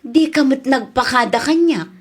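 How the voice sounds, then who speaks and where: A woman's voice: high voice, lively intonation, moderate pace. An actress playing a hard-working, slightly peevish young woman with an Ilocano accent in a radio comedy drama. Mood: indignant. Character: hard-working young daughter, touchy about her things.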